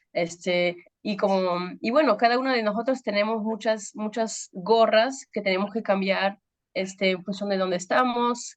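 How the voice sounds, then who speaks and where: Peruvian woman with French-tinged Spanish. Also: mid-range voice. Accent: Peruvian Spanish with some French influence. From Peru. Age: 30s. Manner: hesitant, many fillers and searches for words. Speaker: pastor. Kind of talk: women's ministry talk.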